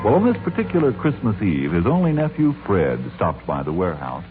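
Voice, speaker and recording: Dramatic 1940s radio narrator man, lowish voice. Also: huge pitch swings, fairly quick. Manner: dramatic, declamatory, storyteller phrasing. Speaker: announcer and narrator. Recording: tinny 1940s radio broadcast, music behind.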